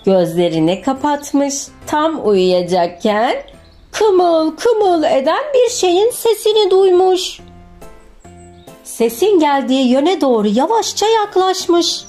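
A woman narrating a children's picture book in an expressive scripted storytelling style. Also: high voice. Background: music.

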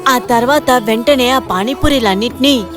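A woman's voice: fairly high pitch, moderate pace. A woman narrator reading a scripted moral story for children. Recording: loud music behind the voice.

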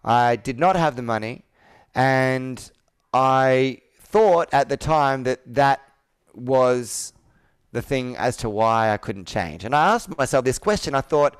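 A man's voice: medium pitch, expressive, takes it slowly, clear, resonant tone, no echo. A man in his thirties coaching on a sales call, telling personal stories earnestly and asking persuasive rhetorical questions. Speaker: business coach. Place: indoor room with whiteboard, video call.

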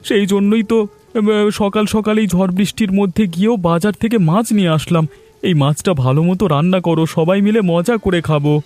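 A man's voice: high voice, brisk, a little mellow, close to an everyday voice. A man voicing an earnest village farmer and husband in a cartoon, with music behind him. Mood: eager, placating. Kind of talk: cartoon dialogue acting. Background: background music.

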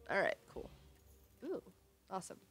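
A young woman sniffly with a cold, thinking aloud in low-key, casual commentary as she plays a video game. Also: moderate pace, fairly bright tone. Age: young adult. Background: game music.

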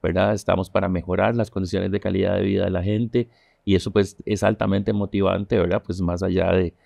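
Middle-aged man, engineer guest, low mellow gravelly voice, calm and explanatory. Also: a little fast, no room sound, clean studio sound. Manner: calm, explanatory, uses tag questions. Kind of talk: studio TV interview. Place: dark TV studio. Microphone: lapel mic.

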